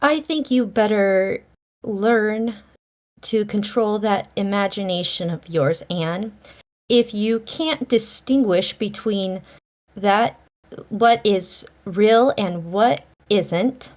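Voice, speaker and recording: A woman reading the part of a stern, reserved middle-aged spinster from a period novel, in a halting manner. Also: mid-range voice, a little mellow, close to an everyday voice. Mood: stern reproof. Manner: halting, severe wording.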